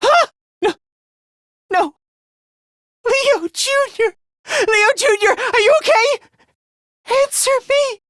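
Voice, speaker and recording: A man's voice: extremely high pitch, big pitch swings, takes it slowly, long pauses, pushed and bright, no room sound, full character voice. A man voicing a timid, self-loathing, frantic young male fighter in an anime-style video game dub, stammering, self-deprecating and easily panicked.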